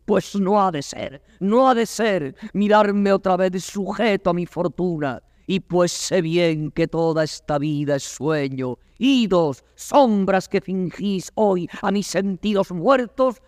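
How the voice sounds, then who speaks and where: Defiant captive prince, philosophical and proud, slow weighty verse delivery, dry slightly high voice. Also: male voice, no room sound. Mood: defiant disbelief. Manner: declamatory, weighty, emphatic. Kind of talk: classical Spanish verse drama, declaimed.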